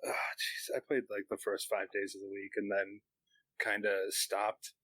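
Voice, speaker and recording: A man's voice: lowish voice, completely flat delivery, unhurried, pushed and bright. A man chatting casually and informally as a podcast co-host. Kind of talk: casual gaming chat.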